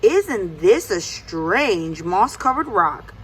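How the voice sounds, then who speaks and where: A woman voicing a friendly, gullible male lion in a children's storybook, with a drawn-out, agreeable delivery. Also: mid-range voice, very animated, fairly bright tone, slightly rough, full character voice, poor audio.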